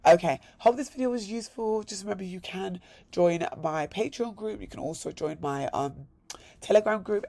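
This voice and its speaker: Upbeat gravelly man. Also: high voice, darkish tone. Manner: repeats words in threes, upbeat. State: hoarse. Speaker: crypto vlogger. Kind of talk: casual screen-share walkthrough.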